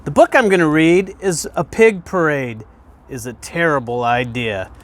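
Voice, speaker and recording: A man reading a children's picture book aloud in an expressive storytelling way, with emphatic pauses and questions put to the listener. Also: on the high side. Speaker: guest reader, fire department deputy chief.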